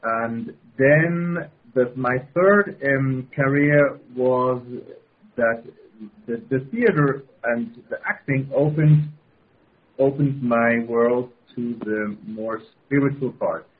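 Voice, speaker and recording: Swiss-accented man, husky voice, halting restarts, tinny phone audio. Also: medium pitch. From Switzerland. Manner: halting, restarts phrases, laughs often. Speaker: guest. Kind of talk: casual interview. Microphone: telephone. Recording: phone-line call-in audio.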